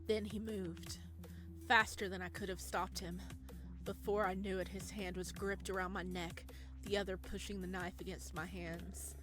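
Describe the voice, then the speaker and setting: A woman reading a visual novel aloud with dramatic narration and exclamatory, spontaneous reactions. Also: fairly low pitch. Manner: expressive, exclamatory. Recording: game music behind the voice. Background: game music.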